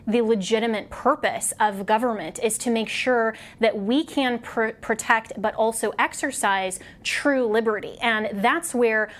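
A woman hosts a talk show with a voice pitched a little high. She talks earnestly and fast, now and then restarting a phrase. The recording sounds plain and close. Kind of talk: political talk show interview.

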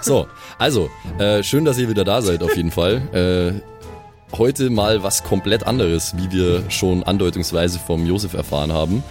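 Low-voiced man chatting over music. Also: moderate pace, darkish tone. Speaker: podcast co-host. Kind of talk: casual podcast chat. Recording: music behind the voice.

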